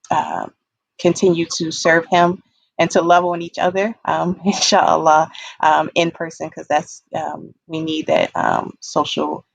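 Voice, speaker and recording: A woman giving an informal community talk on a video call, pausing often with hesitant ums. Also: low-pitched, vocal fry, breathy edge, no echo, rough recording. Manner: hesitant, frequent pauses and ums. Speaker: panelist giving public health talk.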